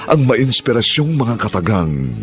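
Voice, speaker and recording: A man with a Filipino accent, a radio drama announcer narrating in a solemn, grandiloquent, drawn-out style. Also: lowish voice, sing-song. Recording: radio announcement over music.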